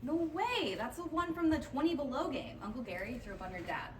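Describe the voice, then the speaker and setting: A woman's voice: high-pitched, animated, fairly quick, far from the mic. A woman playing a brash, sentimental widowed mother in a comedy table read, speaking in an emphatic, earthy way. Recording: echoey noisy room, audience behind.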